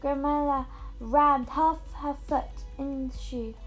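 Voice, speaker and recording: A female reader narrating a fairy tale aloud in an expressive, scripted storytelling style. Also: sits high, slow, faint music behind.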